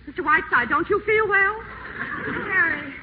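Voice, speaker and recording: An actress playing a brisk, fed-up young woman secretary in a 1940s radio play, firm and exasperated. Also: very high voice, expressive. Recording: muffled old radio broadcast, faint hiss.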